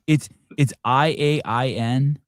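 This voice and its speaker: A man's voice: medium pitch, slow pace, dry recording, clean studio sound. A middle-aged man hosting a live interview, rambling and self-deprecating with many restarts. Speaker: podcast host.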